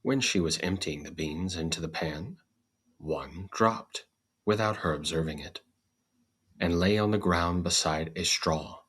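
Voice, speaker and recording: Slow, very deep, husky male storyteller. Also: fairly bright tone. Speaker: host and story reader. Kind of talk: scripted storybook narration.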